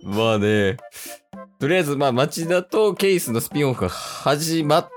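A man's voice: fairly high pitch, lively intonation, moderate pace. A man with a Kansai accent hosting with casual comic banter. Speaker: host. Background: plucked guitar music.

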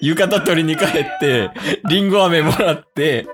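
A man's voice: a little high, moderate pace, music in the background. A man with a Kansai accent bantering casually as host of an amateur radio drama.

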